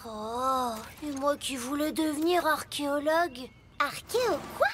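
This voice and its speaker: An actor voicing an excitable young mini-truck, an eager little explorer in a children's cartoon, exclaiming in wide-eyed wonder. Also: sits high, measured pace, slight background noise. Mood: puzzled. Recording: loud music behind the voice. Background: music.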